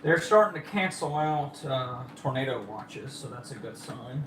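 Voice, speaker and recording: A man chatting casually to livestream viewers as an amateur storm spotter, speaking haltingly with trailing pauses, on phone audio. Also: mid-range voice, expressive, background noise. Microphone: phone, held or propped nearby.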